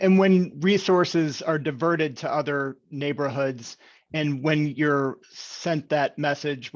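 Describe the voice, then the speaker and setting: A man moderating a panel over a video call, asking careful, measured questions. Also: a little high, dull audio.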